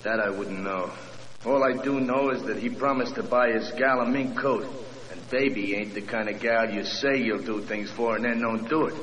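An actor playing a defensive, sullen hoodlum in 1940s radio style, with slangy, ungrammatical tough talk. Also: male voice, mid-range voice, normal speed, a bit bright, lightly acted. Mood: sore, sullen. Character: sullen, defensive small-time hoodlum in a cell. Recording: distant, hissy old radio recording.

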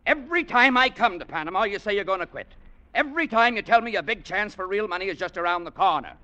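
A man's voice: sits high, lively intonation, fast talker, sharp tone, full character voice. An actor playing a bossy, condescending American manager who needles his employee in an old radio drama. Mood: scornful. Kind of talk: 1940s-50s radio drama acting. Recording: dull vintage radio recording.

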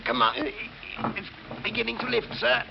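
A man's voice: extremely high pitch, fairly even intonation, unhurried, creaky, lo-fi sound, heavily acted. A man playing a nervous, deferential servant who stammers timidly in a 1940s radio mystery style, on a noisy old recording. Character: nervous, deferential male servant, easily frightened.

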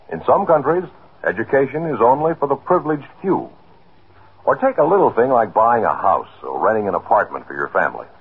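A man's voice: mid-range voice, breathy edge. A man delivering a scripted 1950s radio message in a folksy, explanatory way, talking straight to the listener. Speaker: public service announcer. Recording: muffled narrow-band 1950s radio recording.

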